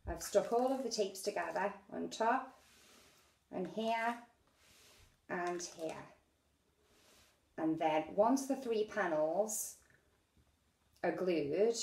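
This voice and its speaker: A woman's voice: lowish voice, gravelly, darkish tone. A middle-aged British woman casually presenting a hands-on craft demonstration, talking as she works with her hands. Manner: unhurried, talking while working with her hands. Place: indoors at a dining-room table at home. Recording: echoey domestic room.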